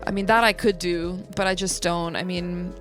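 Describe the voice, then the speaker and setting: A woman's voice: lowish voice, steady tone, moderate pace, soft background music. A young American woman, a singer-songwriter, chatting thoughtfully and agreeably as an interview guest. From United States. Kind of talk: casual interview chat.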